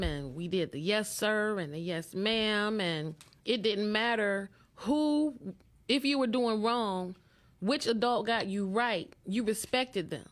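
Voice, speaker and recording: A woman with an American accent chatting casually as a podcast host. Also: medium pitch. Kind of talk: casual interview chat. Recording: dull lo-fi sound.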